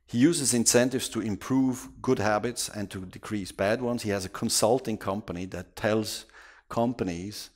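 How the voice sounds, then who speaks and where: A man moderating an event, giving a formal introduction in a measured, courteous way. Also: on the low side. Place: conference hall.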